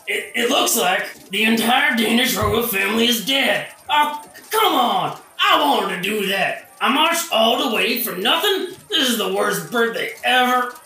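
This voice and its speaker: A man's voice: very high voice, expressive, a little slow, few pauses, bright, forceful tone, distant mic, heavily acted. A man playing a whiny, deflated invading prince, petulant and slangy, in a modern comedic audio play. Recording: echoey room, off the mic.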